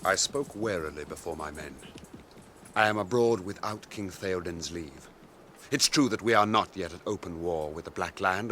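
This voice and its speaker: An actor playing a proud, wary cavalry commander, blunt and honourable, speaking formally and with authority in a radio fantasy drama. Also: male voice, lowish voice, a bit bright, a little hiss or hum, natural voice.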